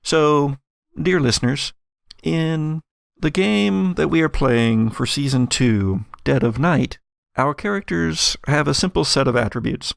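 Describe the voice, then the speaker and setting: Gravelly-voiced man running a tabletop game. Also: a little high, animated, unhurried, no echo, clean studio sound. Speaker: game master and host. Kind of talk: narrating an actual-play tabletop roleplaying game.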